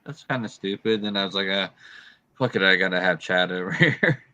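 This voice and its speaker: Deep, bright-toned laid-back man. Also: a little fast. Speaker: panel guest. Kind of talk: casual chat on a live stream.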